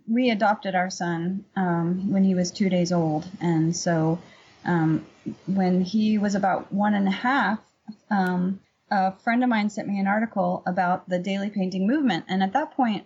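Woman, middle-aged, husky mid-pitched voice, informal conversational interview guest, slightly dull sound. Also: steady tone, unhurried, darkish tone. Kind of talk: casual conversational storytelling and explanation. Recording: dull lo-fi remote audio.

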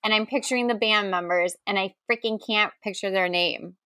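Woman co-host guessing in a game, exasperated and chatty, sharp bright voice, no room sound. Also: mid-range voice, fairly quick, smooth, no echo. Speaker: podcast co-host and game contestant. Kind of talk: casual banter.

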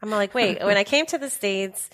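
A woman's voice: medium pitch, moderate pace. A woman whose first language is Spanish, a guest on a podcast interview, talking enthusiastically about her work. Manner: enthusiastic, warm-hearted, laughs often. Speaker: interview guest, safety leader. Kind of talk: casual interview chat.

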